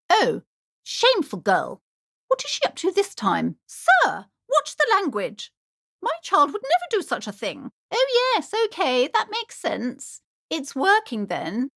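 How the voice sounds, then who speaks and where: An actress voicing a haughty, superstitious, high-strung middle-aged shopkeeper mother in a video game, with a theatrical, imperious, judgmental manner. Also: a bit bright, exaggerated voice. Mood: scolding, offended. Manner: theatrical, imperious, swooping between sweet and scolding.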